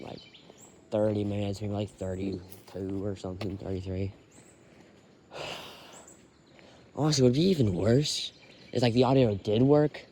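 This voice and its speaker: Young male vlogger with a lowish voice, talking to fill time on a walk; phone-in-pocket sound with faint outdoor hiss. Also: darkish tone. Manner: rambling, filler-heavy, sighing often. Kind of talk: rambling walking vlog. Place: outdoors on a quiet road.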